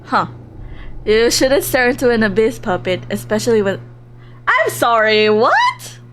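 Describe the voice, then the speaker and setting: A woman livestreaming a visual novel, reading story text aloud and commenting on it in an animated, reactive way. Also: fairly high pitch, a little slow, slight background noise. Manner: animated, reactive, giggly, drops into hushed narration. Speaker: streamer playing a visual novel live.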